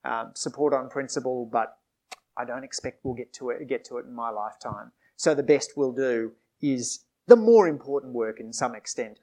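Man, author and politician, measured answers, lots of uh fillers, live event talk. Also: mid-range voice. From Australia. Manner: measured, frequent "uh" fillers and restarts. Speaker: author and politician discussing his book. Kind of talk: panel discussion answering audience questions. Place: event hall with audience.

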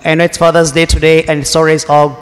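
A man in a relaxed, wry manner introducing a guest speaker to a parish congregation. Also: a little high, fairly quick. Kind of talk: church announcement. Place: church sanctuary. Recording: long church reverb.

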